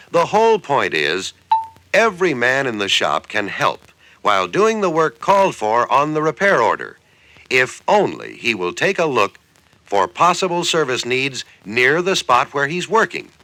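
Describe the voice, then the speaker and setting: An American man narrates in the style of an early 1960s sales training film. His pitch swings widely as he makes each point, and the tone is bright and forward. He sounds conversational yet persuasive, leaning on key phrases, in a dry recording with little room sound. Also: no echo.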